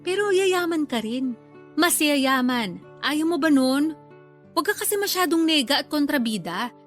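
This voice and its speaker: High-voiced, pushy, nagging mother. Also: lively intonation, normal speed, faint music behind. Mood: boastful, teasing. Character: pushy, boastful, bantering working-class mother. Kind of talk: Tagalog radio drama acting.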